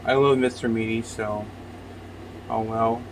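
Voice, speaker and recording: A man's voice: on the low side, steady tone, unhurried, a little hiss or hum, rough recording. A man giving casual, blunt, foul-mouthed off-the-cuff reaction commentary. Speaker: reaction video host.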